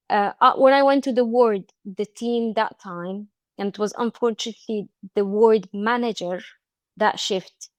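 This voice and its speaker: Egyptian woman telling a story haltingly. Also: medium pitch, clean tone, no room sound. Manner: halting, frequent restarts and fillers. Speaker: podcast guest. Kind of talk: casual interview chat.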